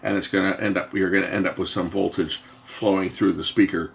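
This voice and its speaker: A man giving an unscripted, hands-on technical explanation in a halting way, often pausing and repeating himself to correct what he says. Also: low-pitched, level tone, gravelly, slight background noise. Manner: halting, self-correcting, with frequent pauses and repeats. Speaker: host of an electronics repair channel.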